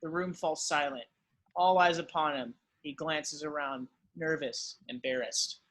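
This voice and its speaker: A man's voice: a little high, unhurried, fairly bright tone, poor audio. A man reading screenplay stage directions as dramatic scripted narration.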